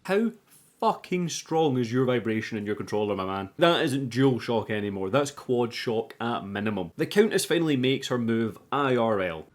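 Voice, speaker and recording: A man hosting a scripted but casual film review, chatty, irreverent and quick to swear. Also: mid-range voice, animated. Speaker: video host reviewing a film.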